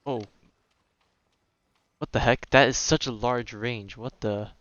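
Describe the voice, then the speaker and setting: A man's voice: medium pitch, steady tone, takes it slowly, fairly bright tone, dry recording, dull audio. A young man streaming a game and chatting casually, slangy and muttering as he thinks aloud. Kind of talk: casual live gameplay chat.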